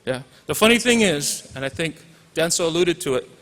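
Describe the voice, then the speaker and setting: A man's voice: on the high side, animated, a little fast, fairly bright tone. A man in his forties from Hawaii with a Hawaiian accent, a teacher giving an informal graduation speech with joking asides and bits of Pidgin. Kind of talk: commencement speech. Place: large hall. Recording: reverberant hall audio.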